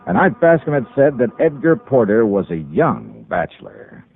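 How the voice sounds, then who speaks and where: Hard-boiled radio investigator: wry, clipped, lively intonation, muffled old broadcast sound. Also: male voice, mid-range voice, moderate pace, vocal fry, breathy edge, full character voice. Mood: wry, businesslike. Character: brisk, wry male insurance investigator, American. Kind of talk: 1950s radio drama acting and first-person narration.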